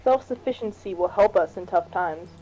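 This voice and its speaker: Woman acting a mellow, firm cabinet minister. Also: on the low side, normal speed, natural voice, rough recording. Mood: firm, cautioning. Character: firm, cautious female cabinet minister defending state planning. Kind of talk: fan voice-over reading of game dialogue. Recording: music behind the voice.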